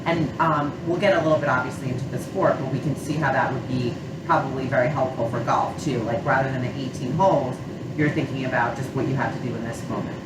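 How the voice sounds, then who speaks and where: Nasal low-voiced woman with a cold. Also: fairly even intonation, quick pace. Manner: gentle, hesitant, many fillers like you know and um. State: has a cold, a little nasal. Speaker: sport psychology consultant. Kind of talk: counseling session. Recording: echoey room, far mic, loud hum.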